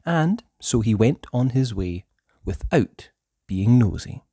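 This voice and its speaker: A storyteller reading a children's book aloud in a theatrical, deliberate way, with emphatic words and hushed passages. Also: mid-range voice, sing-song, husky, no echo, clean studio sound. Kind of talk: expressive children's story narration.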